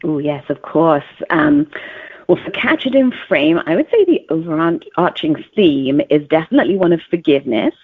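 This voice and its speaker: A woman, an author guest telling stories in an expressive, conversational way. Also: low-pitched, normal speed, slightly rough. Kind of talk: interview, animated storytelling. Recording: narrow phone-like audio.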